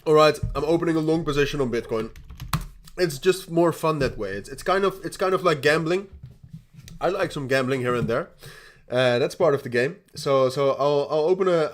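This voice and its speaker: Dutch-accented man rambling on a livestream. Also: mid-range voice. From Netherlands. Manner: rambling, laughs often, many repeated starts, casual swearing. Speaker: crypto livestream host. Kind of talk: casual livestream chat while trading.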